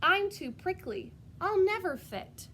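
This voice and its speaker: A woman's voice: very high voice, sing-song, takes it slowly, bright, forceful tone, a little hiss or hum, voice pushed up, exaggerated voice. A woman voicing a prickly, easily worried porcupine in a children's storybook, childlike and earnest.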